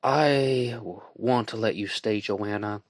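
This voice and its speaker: A woman reading as a tired, steady man. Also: extremely low pitch, takes it slowly, no room sound, studio quality, exaggerated voice. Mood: weary. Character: weary, worn-down man, steady and firm.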